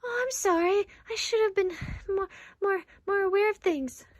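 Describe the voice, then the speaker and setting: A woman voicing an excitable, childlike male puppet in a children's skit, bubbly and given to repeating phrases. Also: extremely high pitch, moderate pace, no echo, studio quality. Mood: pleading.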